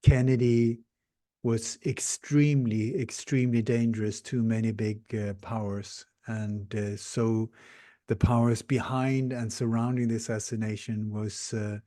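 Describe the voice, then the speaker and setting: A man in his sixties, born in Denmark and raised in Sweden, speaking English in a podcast interview, explaining things earnestly and steadily with frequent pauses. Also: medium pitch, little pitch movement, dark tone, no echo, studio quality. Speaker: interview guest, researcher.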